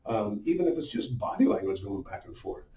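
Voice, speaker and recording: Man, middle-aged, soft-edged dark tone, informal instructional talk, conversational asides, distant echoey room sound. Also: fairly high pitch, big pitch swings, brisk, creaky. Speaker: business sales coach. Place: small indoor room with a whiteboard. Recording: roomy, muffled, distant-sounding echo.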